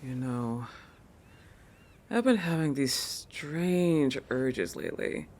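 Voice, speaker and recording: A woman's voice: a bass voice, expressive, slow pace, darkish tone, exaggerated voice. A woman voicing a proud, possessive young shark girl in a roleplay monologue, with a haughty, teasing manner that keeps correcting itself. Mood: possessive, coy. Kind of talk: roleplay audio monologue addressed to the listener.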